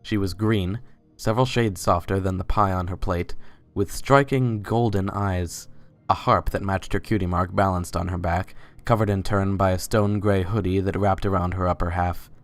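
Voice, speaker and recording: A man's voice: sits low, steady tone, moderate pace. A man reading scripted story narration in a dramatic reading. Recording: music under the voice. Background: background music.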